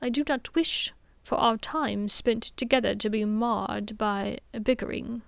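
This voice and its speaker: A woman reading as a slow, soft-spoken old wizard. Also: a little high, creaky, no room sound. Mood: gentle, grave. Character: aged male wizard headmaster, gentle and grave.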